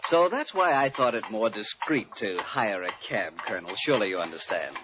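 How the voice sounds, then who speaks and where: A man's voice: on the low side, lively intonation, brisk, lightly acted. An actor playing a ruined young rich man turned suave, insistent blackmailer, in 1940s radio style. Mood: calculating. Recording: muffled, noisy vintage radio recording.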